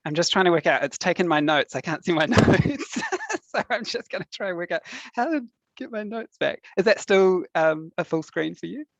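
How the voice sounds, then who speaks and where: A man chatting casually on a video call, relaxed and hesitant with fillers as he introduces himself and presents. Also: high-pitched, normal speed, creaky, no echo. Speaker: workshop presenter. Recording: dull lo-fi call audio.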